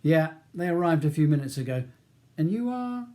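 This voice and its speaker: A man voicing a polite, businesslike male prison officer in an audiobook, brisk and matter-of-fact. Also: fairly high pitch, sing-song, warm tone. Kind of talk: audiobook character dialogue.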